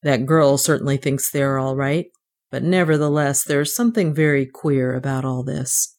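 A woman voicing a gruff, suspicious village policeman in a storybook, deliberate but fair. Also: very deep voice, a little mellow, voice pushed down.

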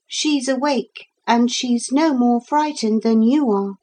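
A woman voicing a plucky, calm, unafraid young girl in a children's story. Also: a little high, slow, voice pushed up. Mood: plucky, firm.

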